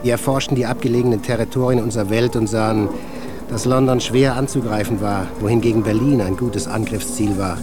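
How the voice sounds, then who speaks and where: A man acting an earnest resistance veteran with a low voice. He looks back in a measured, proud, explanatory way for a radio drama, with music clearly behind him. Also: fairly even intonation, natural voice. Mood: earnest, reflective. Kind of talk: radio drama, interview-like recollection. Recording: music under the voice.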